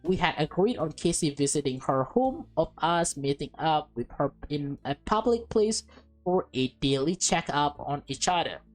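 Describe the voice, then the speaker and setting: A woman's voice: low voice, lively intonation, moderate pace, darkish tone, rough recording. A woman reading a visual novel aloud for a let's play, commenting casually and addressing viewers informally as guys and man. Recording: music playing behind the voice. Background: music.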